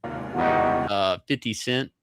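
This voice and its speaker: A man's voice: fairly low pitch, drawn-out words, loud music behind the voice. A man co-hosting a livestream, giving casual, slangy, profanity-laced reaction commentary. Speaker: livestream co-host.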